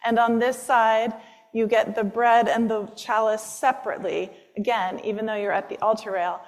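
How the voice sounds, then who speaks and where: Woman priest, fairly high voice, chatty announcements, ringing church reverb. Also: steady tone, moderate pace. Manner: chatty, self-interrupting with fillers. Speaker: priest leading the service. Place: church sanctuary. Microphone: microphone.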